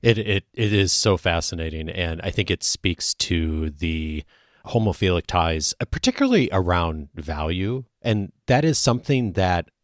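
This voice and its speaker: A man's voice: sits low, very animated, normal speed, no room sound, studio quality. A man hosting a podcast interview, thoughtfully reflecting back on what his guest has said. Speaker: podcast host. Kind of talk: interview conversation.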